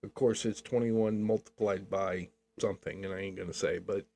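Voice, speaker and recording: A man's voice: deep voice, fairly even intonation, normal speed, vocal fry, a little mellow. An older man co-hosting a podcast, chatting casually in a rambling, jokey way and laughing often. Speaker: podcast co-host. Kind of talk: casual chat.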